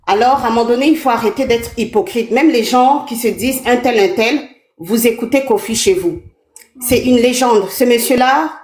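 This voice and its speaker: A woman's voice: a little high, darkish tone, reverberant. A woman in her thirties from Canada, calling in to a live show and speaking earnestly. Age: 30s. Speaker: caller. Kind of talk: live call-in chat.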